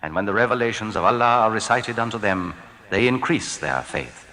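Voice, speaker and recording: A man giving a solemn, formal reading of translated scripture, deliberate and declamatory in his phrasing. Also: on the low side, expressive, pushed and bright, slight background noise. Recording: long reverb, hall-like.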